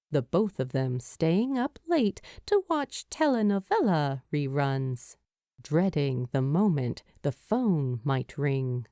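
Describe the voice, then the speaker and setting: A woman's voice: fairly low pitch, warm tone, dry recording, studio quality. A woman reading a scripted story aloud as a fan fiction narrator.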